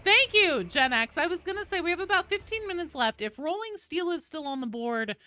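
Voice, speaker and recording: A woman livestreaming a video game, chatting casually and commentating in an informal, sweary, animated way. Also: extremely high pitch, a little fast.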